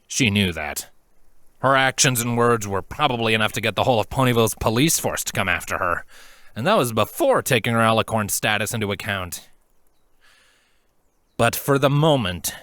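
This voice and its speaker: A man narrating scripted fan fiction in an earnest, dramatic storytelling manner with deliberate pauses. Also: on the low side, animated, fairly bright tone, dry recording, studio quality.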